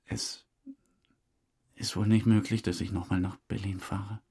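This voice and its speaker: A man's voice: sits low, level tone, a little slow, dark tone, husky, heavily acted. An actor playing a boastful, weary male suspect who rambles through a confession in a naturalistic radio drama. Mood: resigned, regretful. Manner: rambling confessional monologue, acting out remembered exchanges.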